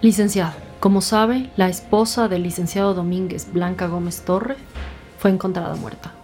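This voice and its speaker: A woman's voice: lowish voice, steady tone, normal speed, darkish tone, soft background music, natural voice. Direct, insistent detective played by a woman. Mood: businesslike, probing. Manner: businesslike, probing. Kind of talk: audio drama acting.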